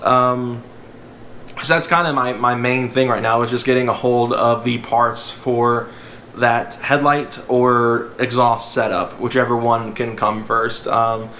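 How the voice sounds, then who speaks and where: A young man talks to his viewers in a loose, rambling way, with plenty of ums and uhs. His voice sounds a little rough and hoarse, and it rings a bit in a reverberant room over a faint hum of background noise. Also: mid-range voice, fairly even intonation, unhurried, bright, forceful tone. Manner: rambling, filler-heavy, conversational. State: slightly hoarse voice. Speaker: car vlogger. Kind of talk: casual talk to camera.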